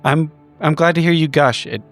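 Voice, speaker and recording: A man playing a fussy, apologetic middle-aged woman in a tabletop roleplay, speaking hesitantly and gently. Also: on the high side, moderate pace, soft background music, close to an everyday voice. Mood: fond, hesitant.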